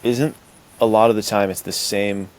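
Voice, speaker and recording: A man's voice: fairly low pitch, steady tone, moderate pace. A man chatting casually as a podcast host, with an informal, slangy way of talking. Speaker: podcast host and fiction writer. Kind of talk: casual chat. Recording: clear background hiss.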